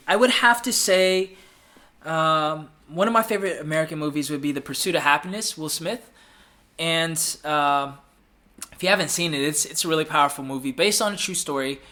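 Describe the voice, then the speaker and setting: Livestream host, man, 30s, upbeat and chatty, fairly high bright voice. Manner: upbeat, chatty, reads comments aloud and repeats phrases. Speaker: livestream host answering viewer questions. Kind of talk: casual live Q&A chat.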